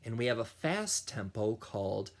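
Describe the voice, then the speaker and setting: A man who teaches children music, reading a picture book aloud in a deliberate, animated storytelling style. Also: fairly low pitch. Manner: slow, deliberate storytelling with sung and imitated sounds.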